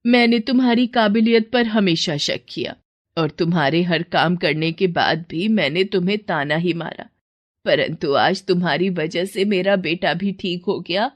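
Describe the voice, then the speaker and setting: Humbled old saas, played by a female narrator; pleading, apologetic, drawn-out storybook delivery. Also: fairly low pitch, no echo. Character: remorseful older mother-in-law, humbled and pleading.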